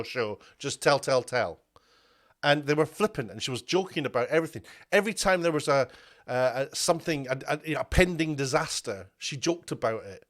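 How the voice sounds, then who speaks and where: A man with a fairly high-pitched voice gives a heated commentary rant. He talks indignantly, stumbling into restarts and repeating words as he goes. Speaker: media commentator. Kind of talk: ranting commentary video.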